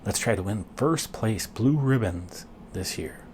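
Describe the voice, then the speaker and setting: Guy reading as an excited artsy wife in a kids book, slow emphatic words, noisy background. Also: lowish voice. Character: enthusiastic, encouraging wife, artistic storybook character.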